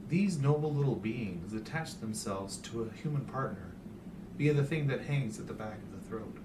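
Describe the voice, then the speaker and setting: Roomy, noisy recording, man acting a creepy, smooth-talking dentist. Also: medium pitch, expressive, close to an everyday voice. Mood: reverent. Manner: unctuous, measured persuasion. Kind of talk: hobby audio story reading. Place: hotel room. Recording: roomy hotel room, distant, noisy hum.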